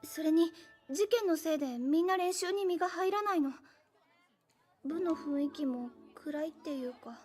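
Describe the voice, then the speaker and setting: Gentle anime game schoolgirl, very high pitch, soft, hesitant, earnest, faint music. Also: fast. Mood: anxious, confiding. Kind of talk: Japanese anime game voice acting.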